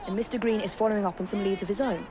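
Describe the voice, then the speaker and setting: A woman's voice: medium pitch, fairly even intonation, very fast, a crowd in the background, lightly acted. A woman playing a dry, sarcastic assassin. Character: sharp-tongued young woman assassin. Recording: game cutscene with music.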